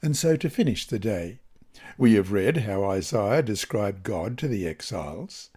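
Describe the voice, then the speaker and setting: A man from Australia reading a scripted Bible study lesson aloud in a measured, deliberate, expressive way. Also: fairly low pitch, husky.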